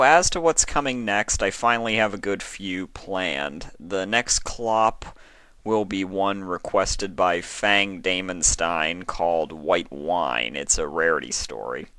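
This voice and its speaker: A man giving a casual, low-key sign-off to his listeners as a fan fiction reader. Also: sharp tone, clear, resonant tone, dry recording.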